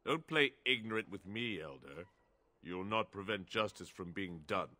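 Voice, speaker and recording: Stern older guard captain, fantasy game, curt and commanding, sharp voice, very animated pitch. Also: male voice, normal speed. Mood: angry, commanding.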